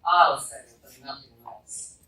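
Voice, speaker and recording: A woman's voice: very deep voice, unhurried. A woman playing an imperious, cruel gargoyle villain who despises humans, sardonic and commanding, in a live radio play. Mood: mocking menace. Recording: roomy, distant, noisy live fan recording.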